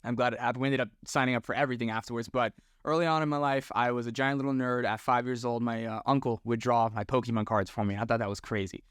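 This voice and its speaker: A man from Florida, a podcast guest who tells stories in a relaxed, slangy way. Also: medium pitch, fast, clear, resonant tone, dry recording, studio quality. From Orlando, Florida, US. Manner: relaxed, slangy, talks with friendly bro energy. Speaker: podcast guest, tattoo shop owner. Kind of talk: casual storytelling chat.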